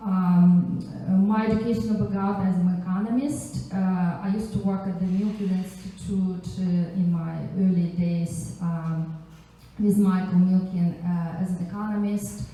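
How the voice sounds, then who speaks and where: Woman, Russian accent, mellow tone, chatty and halting, informal panel introduction, heavy room echo. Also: on the low side, steady tone. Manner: chatty, halting, with frequent asides. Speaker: panelist, angel investor and economist. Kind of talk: informal self-introduction to a live audience. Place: echoey indoor event venue. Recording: very echoey room, distant microphone.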